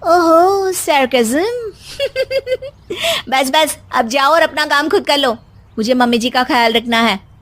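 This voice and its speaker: A woman's voice: sits high, animated, slow, long pauses, a little hiss or hum, heavily acted. A woman voicing a sarcastic, quarrelsome young daughter-in-law in a cartoon story, with a taunting, dramatic manner. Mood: teasing, brisk. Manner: taunting, sharp-tongued, dramatic.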